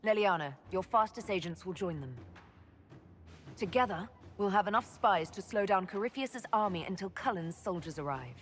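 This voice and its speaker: An actress playing a resolute leader of a fantasy army in a video game, speaking in a firm, commanding manner. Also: female voice, medium pitch, animated, normal speed, soft background music, natural voice. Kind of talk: dramatic video game acting.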